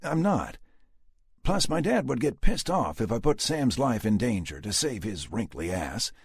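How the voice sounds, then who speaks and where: Rick is a short-tempered cop and protective father, as a male narrator voices him, answering in clipped, stubborn bursts. The voice is pushed well up from the narrator's own register. A gravelly grain stays under it. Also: medium pitch, big pitch swings, unhurried, no echo, studio quality, voice pushed up, heavily acted. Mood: firm.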